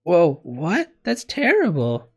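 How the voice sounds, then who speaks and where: A man voicing an affectionate, devoted high school girl from a visual novel, earnest and exclamatory. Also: sing-song, a little fast, gravelly, soft background music. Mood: shocked.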